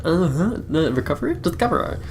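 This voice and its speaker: Mid-pitched let's play host reading dramatically. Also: sing-song, fairly quick, slight background noise. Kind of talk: reading game narration aloud with casual commentary.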